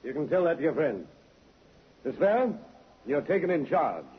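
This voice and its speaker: An actor playing a calm, shrewd police inspector with a dry, measured, commanding manner in a vintage radio drama. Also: male voice, on the high side, slightly rough, exaggerated voice. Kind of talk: 1950s radio drama acting. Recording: tinny narrow vintage radio recording.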